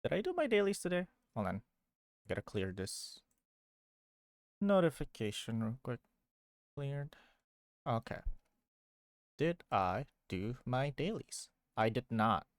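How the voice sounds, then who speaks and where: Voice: mid-range voice, very animated, slow pace, pauses often, no echo, clean studio sound. A game streamer chatting casually on a livestream, rambling playfully and repeating phrases as if to themselves. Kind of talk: casual livestream chat while gaming.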